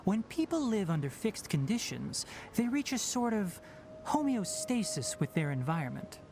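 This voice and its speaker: An actor voicing a young, fox-eared male forest ranger in a video game, who talks in a precise, explanatory way. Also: on the high side. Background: game music.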